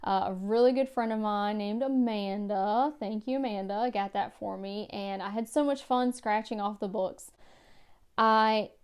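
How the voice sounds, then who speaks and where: A woman vlogger going through a book tag at home by her bookshelves, reading each question and answering in a careful, measured way. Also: medium pitch, clear, resonant tone. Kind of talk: casual book tag vlog.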